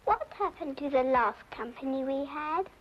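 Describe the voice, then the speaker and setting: A woman's voice: high-pitched, animated, moderate pace. An actress playing a reluctant but obedient little girl of about seven in an old-time radio drama, plaintive and reciting. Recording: dull old radio recording with music.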